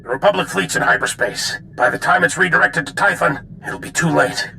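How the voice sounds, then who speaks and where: An actor playing a stern, resolute general in a video game, gravelly and full of military authority. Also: male voice, on the high side, animated, fairly quick, sharp tone, a little hiss or hum, full character voice. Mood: urgent. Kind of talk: scripted video game acting.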